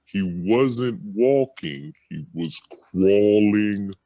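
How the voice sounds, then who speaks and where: A man playing a dazed guy tripping on mushrooms, talking in drawn-out slow motion. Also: lowish voice, lively intonation, dark tone, dry recording, highs cut off. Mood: dazed, sluggish.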